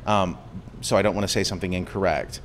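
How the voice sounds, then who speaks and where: A man answering interview questions at a conference in a relaxed, casual way, with the odd restart. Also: low voice, fast, slight background noise. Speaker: interview guest, company executive. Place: crypto conference venue.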